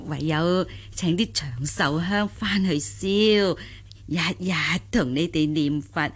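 A woman's voice: on the low side, slow. A woman playing a grateful, deferential elderly countrywoman in a radio drama, rambling and sighing. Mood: humble gratitude. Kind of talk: Cantonese radio drama acting.

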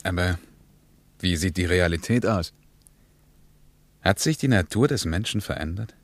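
A man's voice: deep voice, animated, a little slow, dry recording. A man delivering scripted rhetorical commentary for a radio play in a grave, questioning manner.

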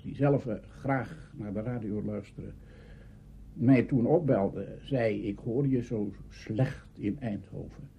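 A man's voice: mid-range voice, slight background noise. An older man recalling his early days in radio in an interview, hesitant and full of fillers. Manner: hesitant, many fillers, anecdotal. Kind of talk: reminiscing interview. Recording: dull lo-fi archive tape.

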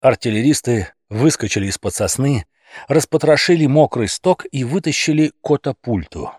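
A male narrator reads a humorous fairy tale with theatrical expression. His voice is medium in pitch and a little rough and husky. The sound is dry, with no room around it. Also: animated, moderate pace, a little mellow, no echo. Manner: theatrical, dramatic storytelling. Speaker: audiobook narrator.

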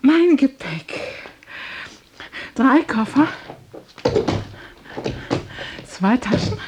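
Fussy, self-pitying traveller woman, high voice, radio play, faint noise behind. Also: big pitch swings, slow, full character voice. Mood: fussy, chatty. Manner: fussy, plaintive. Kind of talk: radio drama acting.

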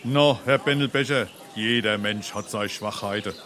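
A man's voice: fairly low pitch, normal speed, close to an everyday voice. A man playing an easygoing, forgiving tavern regular with a Hessian accent in a dialect comedy. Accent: Hessian, Darmstadt dialect. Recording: crowd noise around the voice.

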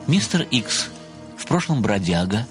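A meticulous, deferential amateur sleuth. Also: male voice, lowish voice, very animated, a little slow, soft background music. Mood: rapturous. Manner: formal, deferential, precise, declamatory when enthused. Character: earnest, meticulous pensioner, amateur detective and devoted admirer. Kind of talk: theatrical audio drama dialogue.

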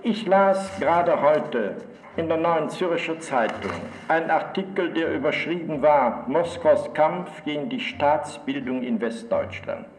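A man's voice: on the high side, unhurried. A man giving a formal first-person account. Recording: echoey room.

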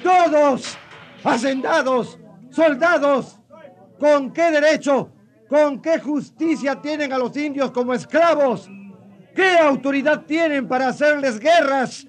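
An actor playing a fiery friar in a radio drama, thundering accusations at colonists in a declamatory sermon. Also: male voice, very high-pitched, takes it slowly. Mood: righteous anger.

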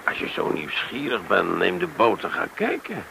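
An actor playing a brisk, dryly ironic rescuer in a Dutch radio drama. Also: male voice, low-pitched, huge pitch swings, gravelly, exaggerated voice. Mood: dry, teasing. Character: brisk, wry adult male rescuer and adventurer. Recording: noisy old radio drama recording.

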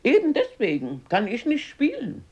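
An older woman acting a feisty, warm-hearted, plain-spoken working-class grandmother in a radio drama. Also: high voice, huge pitch swings, normal speed, breathy edge, no echo, muffled recording, exaggerated voice. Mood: grumbling. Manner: plain-spoken, grumbling, down-to-earth.

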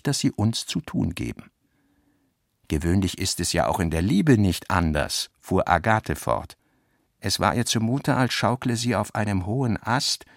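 A man narrating literary prose and its dialogue in a measured, articulate way. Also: on the low side, creaky, no room sound, clean studio sound.

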